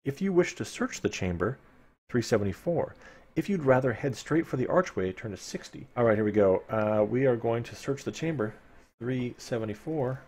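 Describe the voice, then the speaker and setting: A man's voice: mid-range voice, lively intonation, moderate pace, darkish tone, husky. A man streaming a live read-aloud of a fantasy gamebook, dramatic in the narration and informal in his asides. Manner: playful, informal, dramatic when reading.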